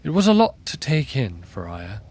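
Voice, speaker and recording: Man narrating a fantasy story, mid-pitched. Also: huge pitch swings, moderate pace, a little mellow, breathy edge. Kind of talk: scripted audio drama narration.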